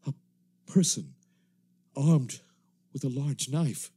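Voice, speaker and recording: Mellow, husky, solemn male survivor. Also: medium pitch. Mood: somber, haunted. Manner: literary, measured, solemn. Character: weary, watchful wandering survivor narrating an invasion's aftermath. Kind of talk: dramatic radio play narration.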